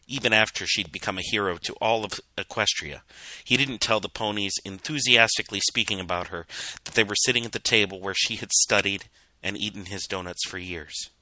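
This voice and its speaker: A man reading a scripted story as narrator, with dramatic, measured delivery. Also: low voice, bright, forceful tone, no echo, rough recording. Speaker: narrator reading fan fiction.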